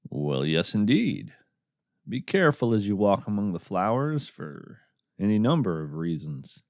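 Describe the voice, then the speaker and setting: A man playing a whimsical naturalist host, lively intonation. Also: on the low side, unhurried, dry recording, clean studio sound. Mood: grateful, earnest. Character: kindly, grateful, whimsical male cryptid naturalist podcast host. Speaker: podcast host. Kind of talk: scripted fiction podcast narration.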